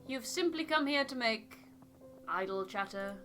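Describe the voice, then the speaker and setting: A woman voicing a reserved, sceptical, businesslike officer in a game, formal and measured. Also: fairly high pitch, expressive, pushed and bright, soft background music. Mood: reserved, impatient.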